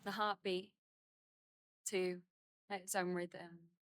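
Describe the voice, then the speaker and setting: A woman guides a meditation in a slightly low voice that barely moves in pitch. Her phrases are gentle and unhurried, with long pauses between them. The sound is dry and close, with hardly any echo, though she is speaking outdoors in a garden. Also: flat delivery. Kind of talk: guided meditation, slow and soothing instruction.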